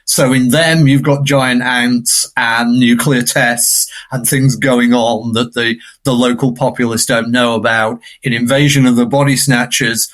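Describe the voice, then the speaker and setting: A man with a medium-pitched voice speaks as a scholarly podcast guest. He explores ideas in a discursive, unscripted way, often turning statements into tag questions. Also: fairly even intonation, measured pace, hardly any pauses, a bit bright.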